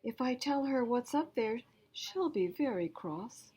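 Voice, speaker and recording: Woman reading as an anxious child, high and pleading. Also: lively intonation, a little slow, dark tone, husky. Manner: earnest, emphatic pleading.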